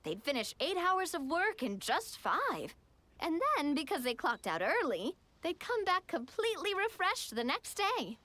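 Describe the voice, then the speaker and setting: A woman voicing a small, excitable, childlike companion in a video game, squeaky and perky. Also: measured pace, no echo, heavily acted. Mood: enthusiastic explaining.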